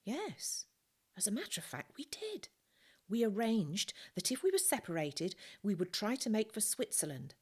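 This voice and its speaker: A woman's voice: mid-range voice, huge pitch swings, normal speed, a little mellow, slightly rough, pitched above the performer's own voice. A woman reading aloud, voicing a grieving father who speaks in a tired, subdued way. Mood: weary. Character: grieving father, worn out and quiet.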